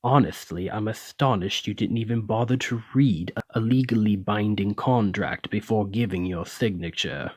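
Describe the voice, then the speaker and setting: A man's voice: fairly low pitch, normal speed, hardly any pauses, no echo, lightly acted. A man playing a haughty, menacing lamia noble in an ASMR roleplay, speaking with condescending, theatrical, aristocratic deliberation. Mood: mocking disdain. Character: haughty, menacing male lamia noble, wealthy retired merchant. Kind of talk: ASMR roleplay addressed to the listener.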